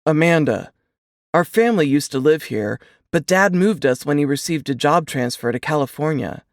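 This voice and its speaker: A woman's voice: sits low, animated, moderate pace, no echo, studio quality. A woman voicing a brawny young soldier, an insistent and earnest man protective of a baby, in an audiobook. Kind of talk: audiobook character dialogue.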